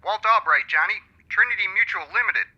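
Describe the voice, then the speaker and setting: A man's voice: sits high, animated, brisk, highs cut off, noisy background. An actor playing a complaining, clipped, asthmatic insurance company man over a telephone filter in a radio drama. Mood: grumbling. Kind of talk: old-time radio drama acting. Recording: thin band-limited telephone sound.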